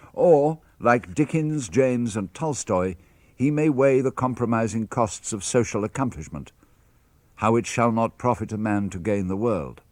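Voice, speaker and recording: A man reads letters aloud in a low voice that rises and falls with great animation. His phrasing is measured and crisp, every clause carefully placed. The studio sound is close and completely dry. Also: a little mellow, dry recording. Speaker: reader of letters. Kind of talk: dramatic reading of letters for radio.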